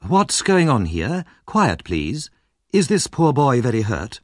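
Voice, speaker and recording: A man voicing a kind young gentlewoman in an audiobook story, polite, concerned and in command. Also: mid-range voice, huge pitch swings, takes it slowly, dry recording, studio quality, full character voice.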